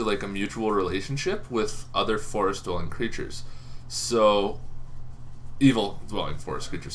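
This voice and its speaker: A man with a fairly low voice talks through game lore like a channel host. His delivery is informal and unscripted, halting as he thinks aloud. There is some faint noise behind him. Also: a bit bright. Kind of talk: casual off-the-cuff explainer. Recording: noticeable background noise.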